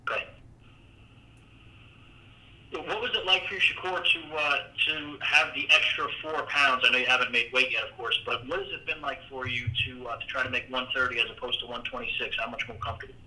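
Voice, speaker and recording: A man's voice: medium pitch, steady tone, brisk, pushed and bright. A man, a sports reporter, asking conversational questions on a press conference call. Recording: phone line with faint noise.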